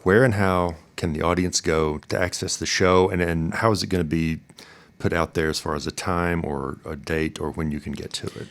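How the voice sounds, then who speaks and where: A man hosting a podcast, asking conversational interview questions in a halting way as he rephrases them. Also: sits low, steady tone.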